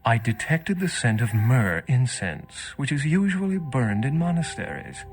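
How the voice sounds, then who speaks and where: An actor playing a wry, worldly old vampire scholar in a video game, speaking in an erudite, measured and sardonic way. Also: male voice, medium pitch, big pitch swings, slightly rough, heavily acted. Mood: matter-of-fact.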